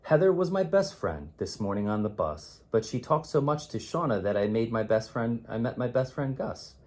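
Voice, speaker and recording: A man reading children's rhymes aloud as a teacher would, in an expressive, theatrical way with drawn-out, emphatic words. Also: mid-range voice. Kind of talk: expressive children's read-aloud of rhyming poems.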